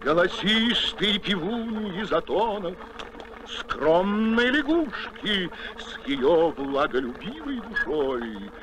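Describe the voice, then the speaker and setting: Slow, solemn male verse reciter. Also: high-pitched, very animated, heavily acted. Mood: solemn storytelling. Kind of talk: poetic scripted narration. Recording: noisy film soundtrack.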